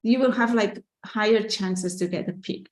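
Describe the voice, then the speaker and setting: A woman's voice: mid-range voice, moderate pace. A woman with a Catalan accent, whose first language is Catalan, talks casually through a live question-and-answer session, reading out viewer questions. She sounds candid and chatty, full of "like" and "you know". The room around her is roomy and echoey. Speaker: artist and business owner hosting a live Q&A.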